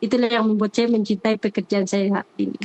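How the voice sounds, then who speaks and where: A woman's voice: mid-range voice, level tone, fast talker, a little mellow, highs cut off. A woman, a teacher answering interview questions off the cuff, earnest and hesitant, often repeating her phrases.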